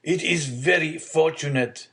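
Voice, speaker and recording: A man voicing a frail, gentle old father in an audiobook story, meek and halting as he placates. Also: a little high, voice pushed up. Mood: weak, reassuring.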